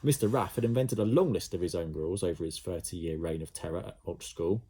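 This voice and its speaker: A male teacher reading a storybook aloud to young pupils, telling it in a lively, expressive way. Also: low-pitched, a little fast, slightly rough.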